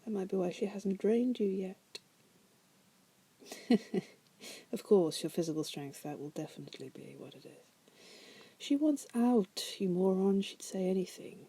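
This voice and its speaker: A caged female demon, played by a woman in an ASMR roleplay. Her voice is very mellow and dark, with a husky breathiness that often slips into a whisper. She is teasing and condescending, a bored manipulator who coaxes and flirts. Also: medium pitch, a little slow, pauses often. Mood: scornful. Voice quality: soft, breathy. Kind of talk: ASMR roleplay monologue to the listener.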